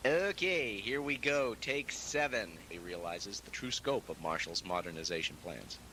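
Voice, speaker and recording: Bright-voiced man with a low pitch. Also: lively intonation, normal speed, a little hiss or hum. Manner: self-mocking, jokey between takes. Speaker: book reviewer recording narration. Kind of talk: scripted review narration with repeated takes and self-mocking asides.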